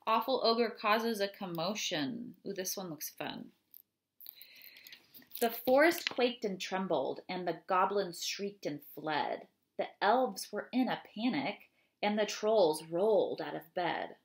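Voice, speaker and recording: A woman in her thirties reads rhyming poems aloud for children. Her voice sits at an average pitch for a woman. She phrases the verse deliberately and expressively, with casual asides in between, recorded in a small room at home. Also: lively intonation, slight background noise. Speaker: read-aloud host. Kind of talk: children's poetry read-aloud, chatty asides.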